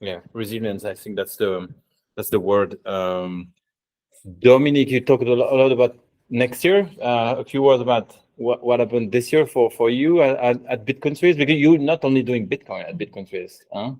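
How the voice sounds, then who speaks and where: Mellow-voiced male panel moderator. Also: medium pitch, moderate pace, rough recording. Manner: brief prompts, restarts.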